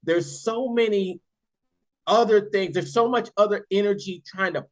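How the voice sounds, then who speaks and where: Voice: medium pitch, muffled recording. A host leading a sales practice video call, encouraging and affirming the others. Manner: encouraging, affirming, drawn-out delivery. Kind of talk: casual group call.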